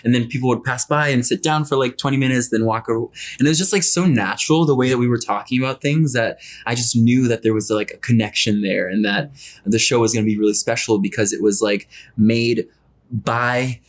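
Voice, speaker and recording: A man's voice: mid-range voice, fairly quick. Young man from Brazil, rambling. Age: 20s. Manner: rambling, heavy use of "like". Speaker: guest, model and actor. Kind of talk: casual interview chat.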